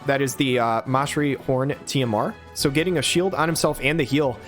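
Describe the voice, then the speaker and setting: A man in his thirties giving quick, excited play-by-play of a game on a headset mic, his voice hoarse from a cold. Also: mid-range voice, over music. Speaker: game caster. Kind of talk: play-by-play commentary.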